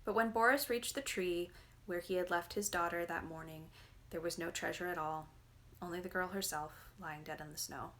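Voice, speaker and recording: A woman's voice: fairly low pitch, steady tone, normal speed, fairly bright tone, a little hiss or hum. A woman narrating a fairy tale from a book as a dramatic, scripted reading. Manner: dramatic storytelling. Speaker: reader, narrator.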